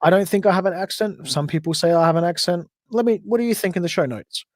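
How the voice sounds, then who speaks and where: A man's voice: high-pitched, fast, a little mellow, no echo, clean studio sound. An Australian man hosting a solo podcast livestream, chatting casually and rambling with frequent self-corrections. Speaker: podcast host.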